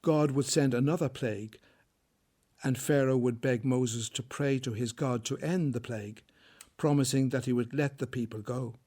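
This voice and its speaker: A man's voice: mid-range voice, normal speed, dark tone. A man narrating a scripted story as a storyteller for an audio drama.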